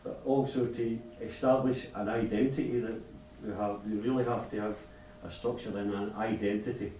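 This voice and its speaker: A man's voice: on the low side, fairly even intonation, normal speed, mellow. Earnest man in an echoey hall. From Scotland. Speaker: clan member speaking from the floor. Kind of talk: unscripted remarks at a meeting. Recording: echoey hall, distant mic, faint hiss.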